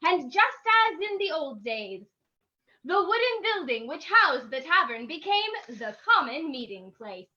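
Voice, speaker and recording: A woman's voice: sits high, very animated, a little slow, sharp tone, slight background noise. A woman reading scripted old-time radio narration as the announcer of a play. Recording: muffled, lo-fi microphone.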